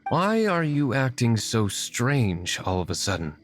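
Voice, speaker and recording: An actor playing a stern, composed nobleman in a video game, speaking in a calm, menacing way. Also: mid-range voice, unhurried. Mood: cold. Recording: game audio with music behind.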